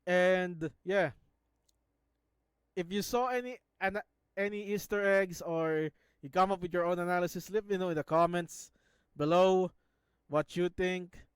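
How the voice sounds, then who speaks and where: Filipino-accented man with a smooth voice. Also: high voice, dry recording. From Philippines. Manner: halting, restarts words often. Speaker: YouTuber analyzing a show. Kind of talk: casual video commentary.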